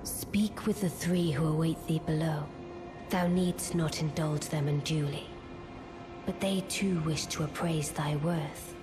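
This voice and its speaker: Haughty witch from a fantasy game, deep slow voice, old-timey speech, music playing loud. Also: steady tone. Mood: aloof, composed. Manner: archaic, stately, measured. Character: aloof, imperious witch noblewoman. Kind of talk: scripted video game character dialogue.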